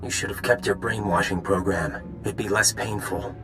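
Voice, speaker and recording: Male actor as sci-fi conspirator villain, lowish voice, smug, persuasive, quietly menacing, music behind. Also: fairly even intonation, moderate pace, lightly acted. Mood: coldly menacing. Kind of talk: dramatic sci-fi game dialogue.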